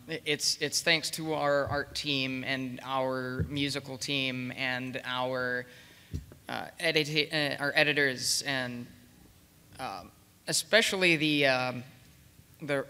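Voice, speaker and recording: A man talks on a panel in a reverberant hall. His voice is bright and clean, and he feels his way through sentences with a hesitant, wandering delivery. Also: medium pitch, smooth. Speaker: convention panelist, production team coordinator. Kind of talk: informal panel talk. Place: convention panel room with audience. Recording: echoey hall with long reverb.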